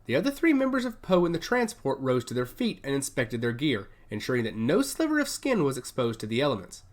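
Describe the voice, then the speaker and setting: Male audiobook narrator for sci-fi drama. Mid-pitched, highly animated intonation, measured and expressive delivery. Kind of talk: scripted dramatic narration of science fiction.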